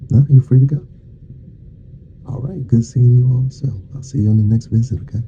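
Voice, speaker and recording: A soft-spoken man playing a dentist in an ASMR roleplay, murmuring casual, reassuring and unhurried patter to a patient. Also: mid-range voice, steady tone. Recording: dull muffled lo-fi sound with faint noise.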